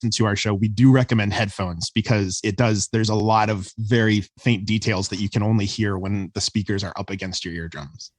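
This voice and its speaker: Lowish, dry-sounding man in an interview. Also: fairly even intonation, a little fast, hardly any pauses, no echo. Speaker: guest, sound designer and composer. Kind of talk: enthusiastic interview conversation.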